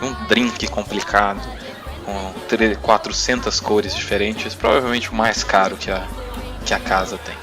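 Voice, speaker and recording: A man's voice: on the low side, steady tone, measured pace. A man narrating a tabletop roleplaying game as game master, with music behind him. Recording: clear music bed behind.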